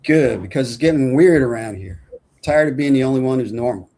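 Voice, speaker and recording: A man's voice: mid-range voice, a little fast, mellow, natural voice. A man reading the part of a crude, jokey male coworker at a film script table read, blunt and casual. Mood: uneasy, urging.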